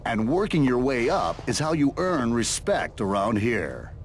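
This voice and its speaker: A man's voice: medium pitch, sing-song, unhurried, a little hiss or hum. A man narrating a motorcycle video game in a scripted, reality-show storytelling style.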